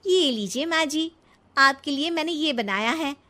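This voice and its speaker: A woman voicing a young city-bred bride in a cartoon story, expressive and exclamatory, doubtful and a little scheming. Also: high voice, a little slow, full character voice. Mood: sweetly scheming.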